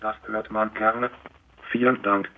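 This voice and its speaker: A synthetic male computer voice for a conversational robot, halting and stilted, heard through a dull phone line. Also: lowish voice. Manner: flat, stilted. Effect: computer speech synthesis. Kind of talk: synthetic chatbot small talk. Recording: dull, noisy phone pickup.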